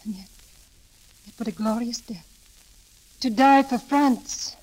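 A gracious, higher-voiced lady-in-waiting. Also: slow pace, a little mellow, rough recording. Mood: tender, wistful. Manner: refined, romantic. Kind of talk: 1930s radio acting.

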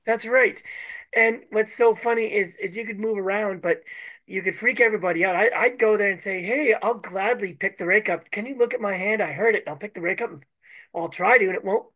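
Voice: mid-range voice, fairly quick, fairly bright tone, highs cut off. A speaker telling stories casually and playfully in a group chat. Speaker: discussion participant.

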